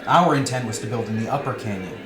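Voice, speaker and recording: A man's voice: mid-range voice, quick pace, lightly acted. A man reading the part of a flippant, wry dinner companion in a novel, in a dry conversational manner. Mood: matter-of-fact. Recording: roomy reading with music behind.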